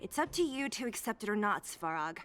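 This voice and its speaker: A woman's voice: mid-range voice, very animated, fairly quick, fairly bright tone, a little hiss or hum. A woman voicing a blunt, cool-headed young woman fighter who speaks in a dry, skeptical, curt way. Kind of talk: studio video game voice acting. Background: game music.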